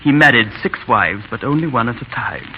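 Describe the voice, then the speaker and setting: A man's voice: mid-range voice, animated, fairly quick, natural voice. Man acting shy English schoolmaster, formal, hesitant, dryly kind, 1930s radio drama, muffled hissy recording. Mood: dry lecturing.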